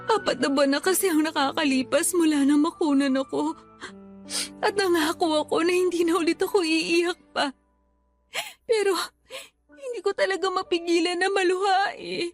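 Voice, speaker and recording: A weepy grieving wife in a radio drama, really high voice, pleading, faint music under it. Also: female voice, fairly even intonation, normal speed. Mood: anguished. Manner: tearful, pleading delivery. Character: Alma, grieving, self-blaming wife who lost her babies.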